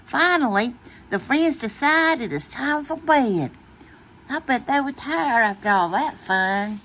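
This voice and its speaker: A woman reading a children's bedtime story aloud in an expressive, deliberate way. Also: high-pitched, slight background noise, rough recording. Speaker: storytime reader.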